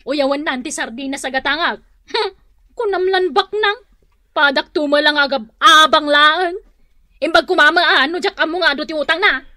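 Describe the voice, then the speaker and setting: A woman's voice: high voice, moderate pace, bright, forceful tone, dry recording, studio quality. A woman with an Ilocano accent playing a proud, combative, complaining housewife in a radio drama. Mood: indignant. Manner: indignant, complaining.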